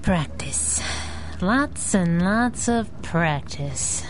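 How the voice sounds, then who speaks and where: A woman playing a plucky, sharp-witted young masked superhero sidekick in 1930s radio adventure style. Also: on the low side, lively intonation, extremely slow, background noise. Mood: wry, tender.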